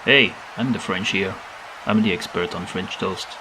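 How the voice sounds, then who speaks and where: A man's voice: low voice, normal speed, background noise, close to an everyday voice. A man playing a cool, sardonic demon goat wrestler who gives dry retorts.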